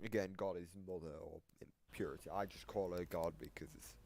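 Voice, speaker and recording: A deep-voiced man with a gravelly creak gives offhand, explanatory reaction commentary. The recording carries some background noise and clicks. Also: steady tone, fairly quick, darkish tone. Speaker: host explaining voice lines.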